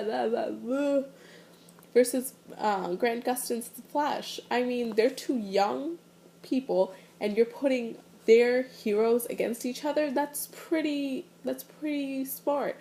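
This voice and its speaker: A young woman with a fairly high voice and a stuffy, sniffly nose talks casually to her camera from her living room. She rambles along, full of fillers and restarts. A faint hiss of room ambience sits behind her. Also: darkish tone. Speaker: vlogger. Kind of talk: casual chat, reaction review. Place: living room at home, indoors.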